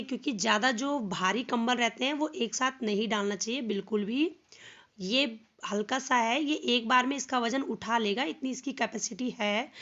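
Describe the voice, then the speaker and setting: Hoarse woman chatting through a cold. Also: a little high, pushed and bright, highs cut off. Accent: Hindi-speaking North Indian. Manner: chatty step-by-step explaining. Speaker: home vlogger. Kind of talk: casual how-to vlog. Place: home, by a washing machine outdoors.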